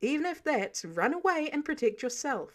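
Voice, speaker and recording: A woman's voice: high voice, very animated, moderate pace, voice pushed up. A woman voicing a stern, protective man who lays down rules in a sarcastic, bossy way for a story reading. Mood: firm, commanding. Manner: sarcastic, bossy, clipped commands. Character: stern, exasperated, protective adult man, mentor laying down rules.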